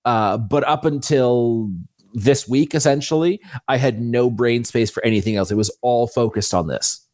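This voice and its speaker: A man's voice: mid-range voice, normal speed, a little mellow, dry recording, studio quality. A man speaking conversationally as an interview guest, an author explaining how he works. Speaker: fantasy author, podcast guest.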